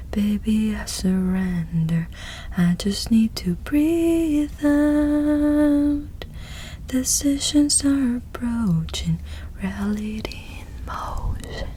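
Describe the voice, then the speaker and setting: A woman performs songs as ASMR in a breathy whisper that slips into soft singing. Her tone is mellow and fairly high, and she takes the words very slowly. The delivery is hushed and intimate. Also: slight background noise.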